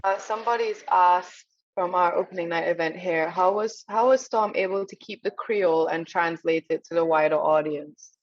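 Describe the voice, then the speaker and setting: Woman, festival host on a video call, lowish voice, eager and word-searching, muffled lo-fi audio, dry. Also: moderate pace, dry recording. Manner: enthusiastic, searching for words, restarts. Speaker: festival director, interviewer. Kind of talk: casual interview chat.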